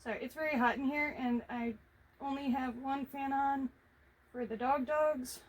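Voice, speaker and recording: A woman vlogger talking casually to herself as she paints, reflective, halting and encouraging, with a naturally quiet voice that she tries to project. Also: sits high, steady tone, poor audio. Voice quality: normally quiet voice, making an effort to project. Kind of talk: casual self-talk while painting. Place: hot room indoors.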